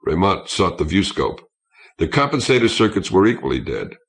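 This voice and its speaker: Low-voiced man narrating science fiction. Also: sing-song, normal speed. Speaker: audiobook narrator.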